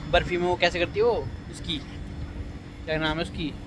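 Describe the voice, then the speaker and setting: A man's voice: a little high, steady tone, moderate pace. A man, hosting a casual comedic vlog with playful banter. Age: 20s. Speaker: vlog host. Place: open rooftop in a city. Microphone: phone at arm's length. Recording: noisy outdoor phone audio with traffic.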